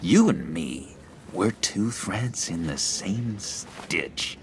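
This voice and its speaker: Creepy gravelly deep-voiced eccentric. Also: male voice, animated, slight background noise, full character voice. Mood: awkwardly eager. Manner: halting, theatrical, swinging between giggly and brooding. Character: eccentric, unsettling male former asylum inmate, awkwardly eager. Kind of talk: video game voice acting.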